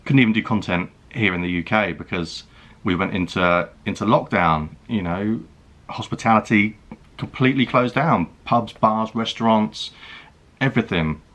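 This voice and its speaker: A man in his thirties from the UK speaks with a very low, deep voice. He talks earnestly and reflectively to camera in a personal vlog. His delivery is halting, full of restarts and "you know". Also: fairly bright tone. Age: 30s. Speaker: food and travel vlogger.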